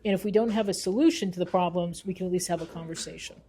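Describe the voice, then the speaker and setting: A woman's voice: lowish voice, racing, mellow. A woman speaking as an interview guest and researcher, earnest and emphatic, repeating phrases for weight. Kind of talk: thoughtful spoken interview.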